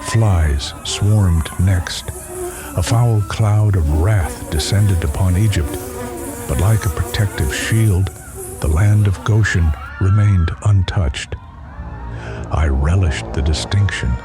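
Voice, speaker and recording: Guy narrating an audio drama, theatrical and solemn, really slow, loud music behind him. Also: low-pitched. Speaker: narrator and voice actor. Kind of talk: scripted dramatic first-person narration.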